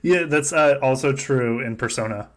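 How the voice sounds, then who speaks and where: Male podcast host, mid-pitched, loose and jokey, casual banter with plenty of swearing. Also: normal speed. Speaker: podcast host running a fan survivor game. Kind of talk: casual joking chat.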